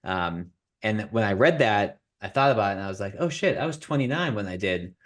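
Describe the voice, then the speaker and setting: A man's voice: fairly low pitch, lively intonation, brisk. A man in his thirties hosting a business podcast, chatting casually with candid, self-deprecating humor. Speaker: podcast host.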